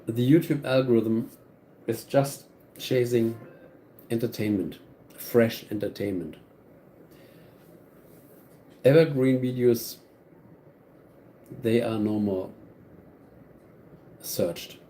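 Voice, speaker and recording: A man with a German accent speaks slowly and earnestly to his live audience, looping back over his own phrases. His voice sits mid-range, with a soft, mellow tone. A faint hum of background noise lies under it. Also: mid-range voice, low-quality recording. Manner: earnest, repetitive, restarts phrases. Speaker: channel host. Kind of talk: live stream talk to viewers.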